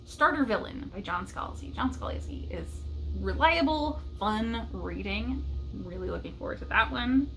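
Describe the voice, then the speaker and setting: A woman's voice: on the high side, a bit bright, slight background noise, low-quality recording. A woman chatting casually and enthusiastically as a book vlogger, with a light chuckle in her talk.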